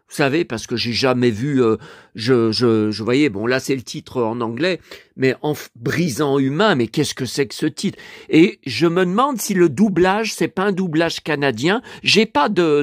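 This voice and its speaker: A man's voice: fairly high pitch, dry recording, clean studio sound. An older French man rambling to his viewers, complaining and restarting his words often. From France. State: in pain after an injury. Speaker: collector vlogger. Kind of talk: casual monologue to camera.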